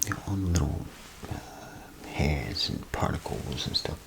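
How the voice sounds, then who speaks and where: A man doing a soft-spoken ASMR role play as a bathroom attendant, rambling casually and unhurriedly to the listener in a breathy, gravelly half-whisper. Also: extremely low pitch, animated, background noise. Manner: unhurried, casual, folksy muttering with pauses.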